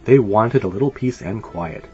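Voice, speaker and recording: A man reading a children's story aloud in an animated, expressive way. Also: medium pitch, normal speed, vocal fry, a little hiss or hum. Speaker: storybook reader. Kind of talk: scripted children's story narration. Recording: dull lo-fi sound. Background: light music.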